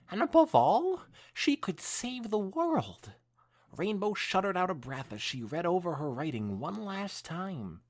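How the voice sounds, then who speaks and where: A man co-hosting a podcast, reading fan fiction aloud expressively with some stumbles and dropping into casual chat. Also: high-pitched. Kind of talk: dramatic read-aloud mixed with casual chat.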